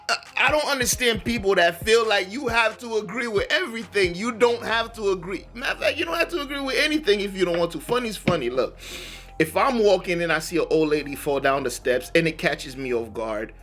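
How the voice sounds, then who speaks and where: High-voiced, bright, slangy reaction host. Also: male voice, brisk, soft background music. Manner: animated, laughing, slangy chatter with swearing. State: losing his voice. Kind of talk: casual reaction commentary.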